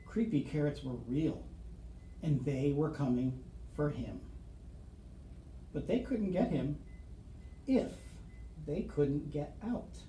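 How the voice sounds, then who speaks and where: A middle-aged man reads a storybook to children with dramatic, suspenseful pauses. His voice is soft-edged and mellow. The room adds clear echo and a faint background noise. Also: on the high side, animated. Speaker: school storyteller reading to children. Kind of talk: expressive picture-book read-aloud with asides to children. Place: small office, seated at desk. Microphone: camera across the desk. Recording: roomy office sound, faint background noise.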